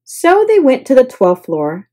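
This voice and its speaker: A woman's voice: sits high, wildly swooping pitch, unhurried, mellow. A woman storyteller narrating a children's picture book aloud.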